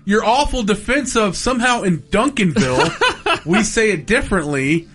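A man's voice: high-pitched, big pitch swings, moderate pace, fairly bright tone, husky. A man co-hosting a radio talk show, mocking a colleague and laughing often. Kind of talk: bantering radio talk segment. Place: radio studio.

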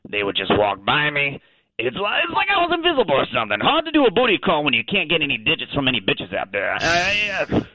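A man's voice: a little high, wildly swooping pitch, sharp tone, exaggerated voice. Man doing a cheeky Australian dog on the phone. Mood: cheeky, jokey. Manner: blustery, slangy, quick to take offense then apologize. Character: cheeky, touchy male pet dog from Australia. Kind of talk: comic character phone interview on radio. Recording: thin phone line.

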